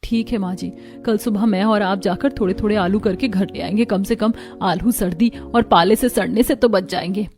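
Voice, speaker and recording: A woman's voice: medium pitch, moderate pace, hardly any pauses, music in the background, natural voice. A woman voicing a dutiful, worried village wife and mother, earnest and pleading, in a moral story.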